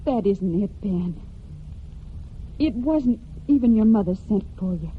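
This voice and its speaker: A woman's voice: mid-range voice, expressive, measured pace, slight background noise, natural voice. An actress playing an earnest, steadfast young farm woman in a 1940s radio drama. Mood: pleading. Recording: dull 1940s radio broadcast.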